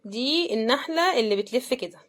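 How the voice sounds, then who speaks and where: A woman's voice: fairly high pitch, animated, fairly quick, a bit bright. A woman with an Egyptian accent giving a casual, chatty running commentary as she unboxes things, often thinking aloud. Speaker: unboxing video host.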